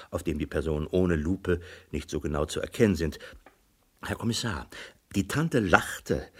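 A man's voice: sits low, a bit bright, slightly rough, full character voice. An actor playing an eager, insistent man bringing his suspicions to the police in a 1960s German radio crime drama. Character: eager, insistent amateur sleuth, male witness.

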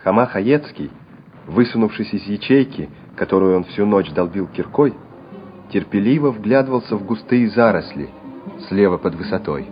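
A man's voice: fairly low pitch, expressive, moderate pace. A man narrating a literary radio play in a dramatic storytelling style. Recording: dull lo-fi radio recording over music.

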